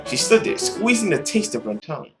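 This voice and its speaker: A woman's voice: medium pitch, sing-song, brisk, music in the background. A woman reading a visual novel aloud as a gaming host, mixing narration with casual asides.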